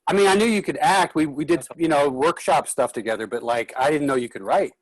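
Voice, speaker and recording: A man's voice: a little high, a little fast, no echo, low-quality recording. Dry-sounding man in casual chat. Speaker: actor, colleague giving feedback.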